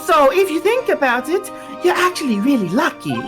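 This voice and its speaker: A bubbly young circus animal tamer with a teasing streak, voiced by a woman, her high voice bouncing through a lively, sing-song range. She sounds sweetly pushy and keeps coaxing, and soft music runs underneath. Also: a little fast. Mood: cheerful. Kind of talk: voicing game dialogue on a live stream.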